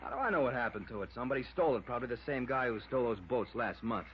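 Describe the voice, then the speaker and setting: A dull, faintly noisy old radio recording holds a short-tempered boathouse owner acted by a man. He talks fast and defensively, in the style of 1950s radio crime drama. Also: mid-range voice, lively intonation, close to an everyday voice. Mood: defiant.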